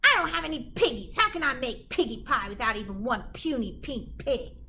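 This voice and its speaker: A woman's voice: high-pitched, expressive, brisk, sharp tone, slight background noise, full character voice. A woman voicing a grouchy, greedy old hag witch from a children's storybook, dramatic and exclamatory. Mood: screeching outrage. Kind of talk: animated children's storybook character reading.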